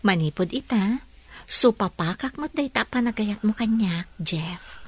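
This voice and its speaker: A woman playing a gentle, grateful young wife in an Ilocano radio drama, with an Ilocano accent. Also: mid-range voice, animated, a little slow, slightly rough. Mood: tender, grateful.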